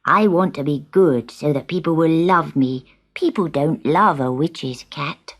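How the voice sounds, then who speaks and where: A woman's voice: low-pitched, a little slow, voice pushed down. A woman voicing a small, timid, good-hearted young male kitten in a children's storybook, earnest and plaintive. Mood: earnest, wistful.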